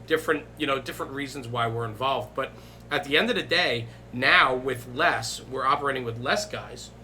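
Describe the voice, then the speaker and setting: A man's voice: mid-range voice, normal speed, sharp tone, a little hiss or hum. A man hosting a podcast in casual, emphatic conversation, often checking in with his listeners. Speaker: podcast host. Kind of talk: casual chat.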